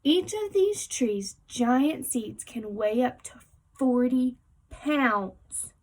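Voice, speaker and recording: Female teacher for picture book read-aloud: slow delivery, soft-edged husky tone, talks directly to kids. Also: high-pitched, expressive, low-quality recording. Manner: explanatory, addressing young listeners directly.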